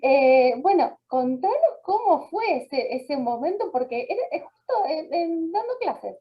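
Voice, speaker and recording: A woman's voice: high voice, big pitch swings, unhurried, dull audio. A woman with an Argentine accent hosting a radio interview, asking questions in a friendly way and laughing often. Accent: Argentine Spanish. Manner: laughs often, uses voseo. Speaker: radio host.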